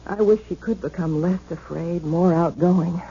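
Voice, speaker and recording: An actress playing a composed, protective sister in a 1970s radio drama, polite and controlled, soothing but firm. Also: female voice, fairly low pitch, normal speed, warm tone, close to an everyday voice. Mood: confiding. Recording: dull, noisy 1970s radio recording.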